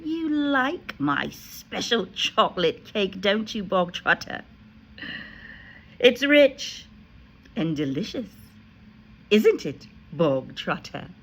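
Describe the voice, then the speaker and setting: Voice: medium pitch, sing-song, extremely slow, gravelly, fairly bright tone, husky, voice pushed up, exaggerated voice. A reader voicing a huge, tyrannical headmistress who barks and screams insults at a pupil in a storybook reading. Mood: falsely sweet. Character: furious bullying female headmistress, huge and tyrannical. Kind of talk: audiobook character voice.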